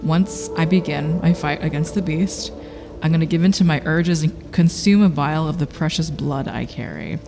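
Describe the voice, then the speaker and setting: A man describing his character's moves as a tabletop game player, halting and dramatic in his storytelling. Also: a little high, gravelly, warm tone. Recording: long hall reverb, background music. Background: music.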